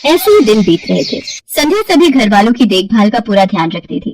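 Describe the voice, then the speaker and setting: A woman's voice: mid-range voice, sing-song, brisk, noisy background. A woman narrating a scripted cartoon moral story as a storyteller.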